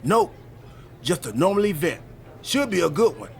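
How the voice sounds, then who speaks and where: A man's voice: high voice, very animated, normal speed, creaky, exaggerated voice. A man playing a chatty, quirky, earnest friend in video game cutscene dialogue. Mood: upbeat, rambling. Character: chatty, quirky, earnest male friend and time-travel teammate.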